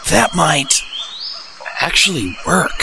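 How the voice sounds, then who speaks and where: A man's voice: a little high, sing-song, slow pace, vocal fry, background noise, heavily acted. A man playing a sensible, supportive hunter in an amateur cartoon audio drama. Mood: reassuring. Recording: music laid under the voice.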